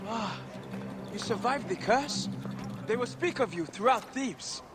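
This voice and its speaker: A keen, chatty innkeeper hawking his wares in a game. His voice is high and bright with salesman zeal, over background music. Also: animated, measured pace. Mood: eager. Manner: eager salesman patter. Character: eager, fast-talking male innkeeper.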